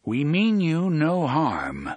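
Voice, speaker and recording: Man playing a terse, husky gunslinger. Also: deep voice, very animated, unhurried, dry recording, voice pushed down, full character voice. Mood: calm, terse. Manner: quiet, terse, courteous. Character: calm, laconic, commanding male gunslinger.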